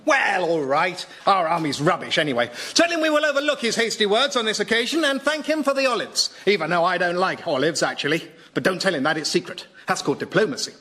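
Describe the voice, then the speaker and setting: Petulant medieval lord, pompous, easily flustered, bright forceful voice, swooping intonation, radio sketch comedy. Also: male voice, sits high, expressive, quick pace, full character voice. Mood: sheepish, peevish. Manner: grand proclamations lapsing into peevish everyday chat.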